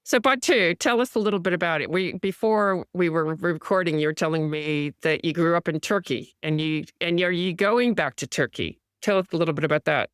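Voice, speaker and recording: A woman hosting a podcast, asking her guests questions in casual interview talk with quick prompting asides. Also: on the low side, clean tone, no room sound, studio quality. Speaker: podcast host.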